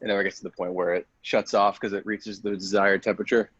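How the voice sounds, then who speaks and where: A man's voice: lowish voice, flat delivery, fast talker, fairly bright tone, highs cut off, a little hiss or hum. An American man chatting casually in laid-back slang, calling people dude. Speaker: podcast cast member. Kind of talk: casual chat.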